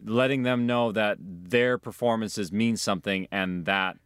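A man's voice: fairly low pitch, unhurried, a bit bright, clean tone, no room sound, clean studio sound. A man speaking as an audio drama director in an interview, earnestly explaining his work in a conversational way.

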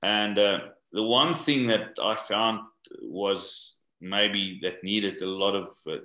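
An older man from South Africa, English not his first language, answering interview questions in a halting way and often repeating words. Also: lowish voice, bright, forceful tone, echoey room. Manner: halting, repeats words while searching for them. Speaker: guest, rugby coach. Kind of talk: podcast interview.

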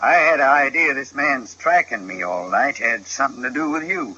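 An actor playing a surly, mocking frontier man with a raspy voice in an old-time radio western. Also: medium pitch, animated, normal speed, bright, forceful tone. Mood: annoyed, mocking. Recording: dull old radio recording.

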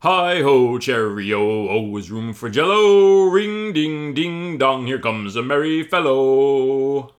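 A man voicing a huge, vain, jolly forest man who booms and sings his lines in a comic audio story. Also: sing-song, moderate pace, a bit bright. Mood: singing merrily. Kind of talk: comic audio fan fiction.

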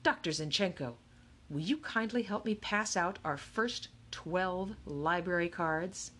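A woman voicing an eccentric older male game maker, a playful showman with crisp, rat-a-tat speech in a storybook reading. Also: fairly low pitch, animated, fairly bright tone. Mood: showy, excited. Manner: starts timid and slow, then crisp and rapid-fire.

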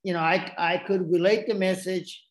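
A man's voice: high voice, level tone, moderate pace. Man with a Japanese accent, roomy sound. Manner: rambling, frequent restarts and asides. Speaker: podcast guest. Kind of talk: casual storytelling.

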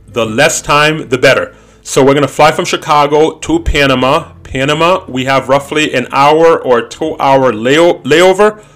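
A man's voice: fairly high pitch, a bit bright. A man in his thirties hosting a podcast, talking in a blunt, opinionated casual monologue. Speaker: podcast host.

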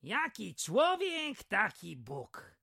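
An excitable young village lad in an audio drama. The voice is husky and forceful, swinging widely in pitch, with blunt exclamations among pals. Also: female voice, very slow, heavily acted. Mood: watchful. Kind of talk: radio drama acting.

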